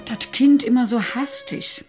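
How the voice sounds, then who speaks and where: A woman's voice: on the high side, wildly swooping pitch, measured pace, music in the background. An actress playing a fretting, homely mother at breakfast in a radio drama.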